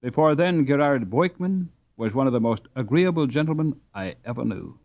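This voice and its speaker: A man voicing a placid, dignified, kindly mother in a storybook, measured and courteous as she gently admonishes. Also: mid-range voice, lively intonation, narrow, tinny audio, close to an everyday voice. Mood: sympathetic.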